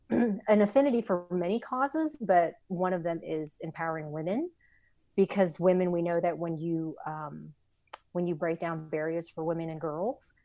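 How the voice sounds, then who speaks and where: A woman's voice: on the low side, moderate pace, mellow, no room sound. A woman in her forties, a company founder, talking earnestly in an interview with many fillers. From Vietnam, raised in the United States. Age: 40s. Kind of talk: conversational interview.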